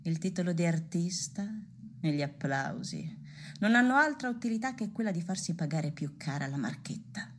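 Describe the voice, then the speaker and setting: An actress playing a worldly, mercenary madam, haughty, insistent and cutting in a theatrical radio drama. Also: female voice, lowish voice, expressive, normal speed. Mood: scornful.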